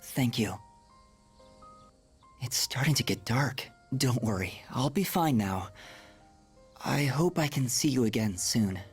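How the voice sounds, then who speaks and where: A man voicing a frail, dying young man in a video game, weary, breathy and halting, with melancholy resignation. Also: mid-range voice, expressive, soft background music, heavily acted. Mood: resigned, grateful. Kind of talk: English video game dub acting, dramatic monologue.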